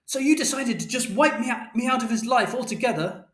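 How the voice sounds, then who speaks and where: Audiobook mother played by a male reader: indignant, strained, reproachful, pitched well up, echoing room. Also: animated, brisk, fairly bright tone. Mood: hurt, angry. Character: distressed, indignant mother shouting in argument.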